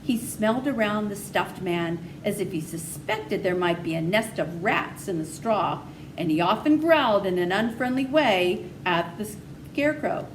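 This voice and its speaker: A woman's voice: mid-range voice, animated, normal speed, background noise. A woman reading a children's story aloud as narrator. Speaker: story reader.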